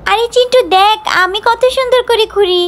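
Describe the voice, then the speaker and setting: A woman's voice: very high-pitched, brisk, fairly bright tone. A woman voicing a frightened young child doll in a children's story, urgent, exclamatory and pleading. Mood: excited, alarmed. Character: frightened young village child doll screaming for rescue from a mummy.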